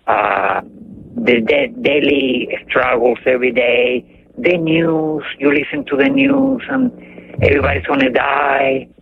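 An older caller with a Cuban accent, speaking in a halting, emphatic way as he hunts for his words. His voice is gravelly and swings up and down a lot. It comes through a tinny phone line with a faint hiss. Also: mid-range voice, lively intonation. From Cuba. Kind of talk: call-in radio chat.

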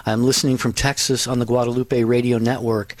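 Gentle, pastoral male radio co-host. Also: medium pitch, little pitch movement, fast, no echo. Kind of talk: religious call-in radio.

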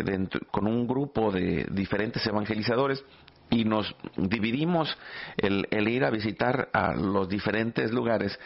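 A middle-aged man speaks slowly and reflectively as the host of a religious program. His voice has a creaky, gravelly edge, and he often repeats words while searching for the next one. The recording is a little dull and lo-fi. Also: lowish voice. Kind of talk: reflective faith talk with personal anecdotes. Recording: slightly dull, lo-fi audio.

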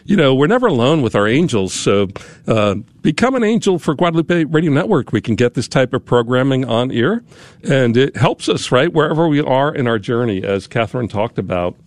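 Hoarse male radio host, dry studio sound. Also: mid-range voice, very animated, fairly quick, dry recording. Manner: friendly, leads prayers. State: hoarse, getting over a cold. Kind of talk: fundraising talk radio.

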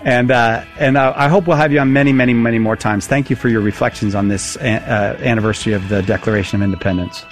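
A man's voice: mid-range voice, steady tone, faint music behind. Man hosting a live radio talk show. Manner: halting, with many fillers.

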